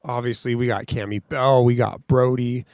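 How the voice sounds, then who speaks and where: A man's voice: mid-range voice, level tone, moderate pace, a little mellow, clean tone, no echo, clean studio sound. A man co-hosting a podcast who talks in an upbeat, encouraging way and uses casual slang. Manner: upbeat, motivational, calls listeners "bro" and "dude". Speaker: podcast co-host.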